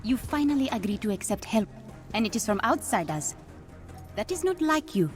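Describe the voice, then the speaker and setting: An actress playing a sharp, self-assured young princess and tech genius in a video game, speaking directly. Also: female voice, a little high, big pitch swings, normal speed. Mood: teasing, candid. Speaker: princess and scientist. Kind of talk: cinematic video game acting. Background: faint music.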